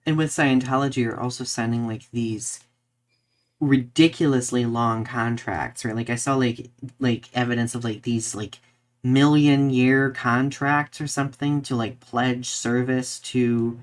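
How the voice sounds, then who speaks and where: A man co-hosting a live podcast in casual discussion, halting and peppering his talk with "like" and questioning tags. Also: mid-range voice, fairly even intonation. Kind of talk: casual discussion, reading viewer comments.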